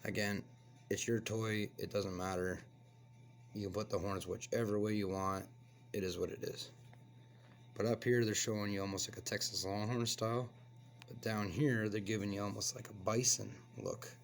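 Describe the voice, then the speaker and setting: A man with a hoarse voice from a cold, hosting a casual toy review and chatting as he unboxes. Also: lowish voice, normal speed, a little hiss or hum. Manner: relaxed, chatty, explains as he handles the toy. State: hoarse, getting over a cold. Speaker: toy reviewer, channel host.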